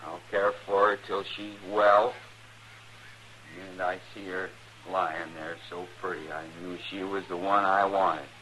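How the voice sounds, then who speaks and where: A lovestruck, simple-minded backwoods son with a forceful edge to his slow rural Western drawl. He is stubborn and menacing in a childlike way. It sounds like a hissy vintage radio western. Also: sits low, flat delivery. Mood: yearning, stubborn. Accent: American rural Western. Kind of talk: old-time radio western acting. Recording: noisy vintage radio recording.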